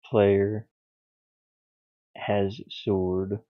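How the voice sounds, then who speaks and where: A man thinking aloud in a halting mutter as he gives casual commentary on his hobby game development. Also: lowish voice, level tone, no room sound. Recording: dull lo-fi audio, faint music. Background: faint music.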